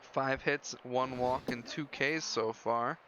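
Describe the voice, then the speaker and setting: A man's voice: mid-range voice, steady tone, slow pace. A man doing live play-by-play baseball commentary in clipped calls with casual asides. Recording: dull lo-fi audio with loud background noise.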